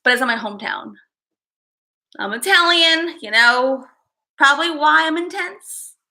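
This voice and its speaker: High bright-voiced woman rambling to her livestream chat. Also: lively intonation, unhurried. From Chicago, USA. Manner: rambling, chatty, reads viewer names aloud. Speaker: livestream host.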